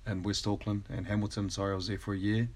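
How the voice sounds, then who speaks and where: A man in his thirties with a New Zealand accent, a guest chatting casually on a podcast, relaxed and hesitant with many ums. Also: on the low side, fast talker. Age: 30s.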